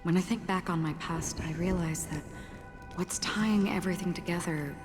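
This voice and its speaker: An actress playing a calm, melancholy female wanderer in a game, speaking softly and breathily in a contemplative way. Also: fairly low pitch, normal speed, close to an everyday voice. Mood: wistful, serene. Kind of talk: quiet dramatic game dialogue. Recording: long reverb, music behind the voice. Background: music.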